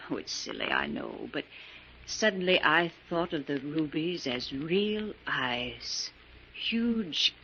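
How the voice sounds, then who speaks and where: An actress playing a wealthy, stern but caring older aunt in an old-time radio drama, speaking in a genteel, formal way. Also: female voice, deep voice, pushed and bright, exaggerated voice. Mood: uneasy. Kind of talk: 1940s radio drama acting. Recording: muffled, noisy old radio recording.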